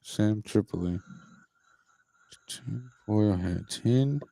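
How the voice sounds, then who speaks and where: A man's voice: fairly low pitch, very slow, creaky, warm tone, a little hiss or hum. A man with an American accent hosting a casual podcast, with teasing, exasperated banter. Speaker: podcast host. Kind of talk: casual chat.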